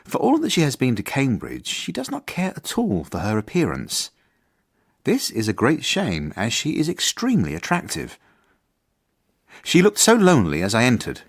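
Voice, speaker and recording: Prim, husky-voiced headmaster with swooping pitch. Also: male voice, huge pitch swings, moderate pace. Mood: prim recounting. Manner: formal, proper, awkward. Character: prim, earnest, flustered male headmaster narrating his report. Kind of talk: radio drama narration and dialogue.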